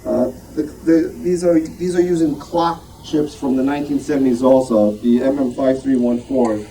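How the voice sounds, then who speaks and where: A man's voice: medium pitch, steady tone, normal speed, mellow. Distant, casual man giving a club talk. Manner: rambling, self-deprecating, conversational. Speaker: club presenter and moderator at show and tell. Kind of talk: informal show-and-tell talk to a club. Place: club meeting room. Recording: distant mic, noisy room.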